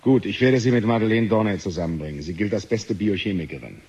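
A man's voice: lowish voice, lively intonation, fast, darkish tone, muffled recording. An actor playing a cautious, uncertain senior male professor in a 1970 German radio drama. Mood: measured. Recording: noisy 1970 radio play recording.

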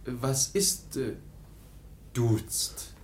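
An actor playing a naive, eager young foundling in a German radio play, speaking in halting, childlike phrases. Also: male voice, mid-range voice, expressive, echoey room.

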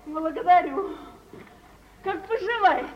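Very high-voiced, fussing mother. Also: animated, a little slow. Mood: effusive, fawning. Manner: effusive, exclaiming, theatrical. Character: bustling, ingratiating middle-aged mother of a marriageable daughter. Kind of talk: 1950s Russian theatrical radio drama. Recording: dull, hissy old radio recording, distant.

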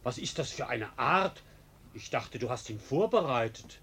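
An actor playing a falsely polite, mock-reasonable arsonist in a German radio play, with an ingratiating manner. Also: male voice, medium pitch, animated, moderate pace, a bit bright, breathy edge. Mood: falsely apologetic.

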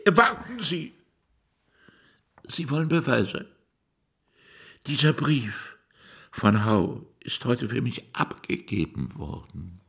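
An actor playing a wily, self-pitying crime boss in a radio play, gravelly and theatrical, swooping between wheedling and grumbling. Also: male voice, medium pitch, expressive, slow pace, pauses often, fairly bright tone, heavily acted. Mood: agitated, wheedling. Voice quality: gravelly, husky. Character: shady Chinese crime boss, wily, self-pitying, touchy about his reputation.

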